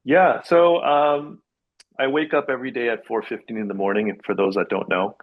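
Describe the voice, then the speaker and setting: A man in his forties from Canada, a realtor guest chatting casually on a podcast, upbeat and quick to agree and laugh. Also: mid-range voice, steady tone, narrow, tinny audio. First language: Korean heritage, English first.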